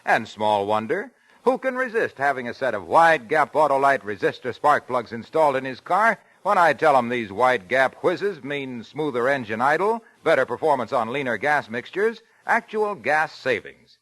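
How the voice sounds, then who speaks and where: A man's voice: medium pitch, big pitch swings, normal speed, few pauses, a bit bright, rough recording. An announcer playing a boastful, eager salesman in a 1940s radio commercial sketch, with emphatic patter. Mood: boastful, upbeat.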